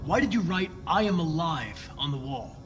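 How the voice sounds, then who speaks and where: A man's voice: a little high, very animated, moderate pace, music in the background. An actor playing a polite, analytical young male android police investigator with a precise, composed way of speaking in a video game. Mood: probing, controlled.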